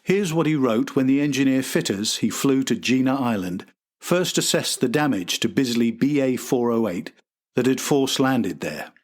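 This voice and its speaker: A man's voice: mid-range voice, lively intonation, normal speed. A man hosting a podcast, reading a scripted historical documentary narration.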